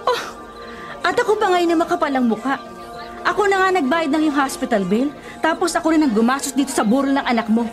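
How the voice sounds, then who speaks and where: Quarrelsome older sister, high-pitched, bossy, defensive, radio drama, loud music bed. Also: female voice, animated, brisk. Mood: indignant. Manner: scolding, insistent, defensive. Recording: prominent music behind the voice.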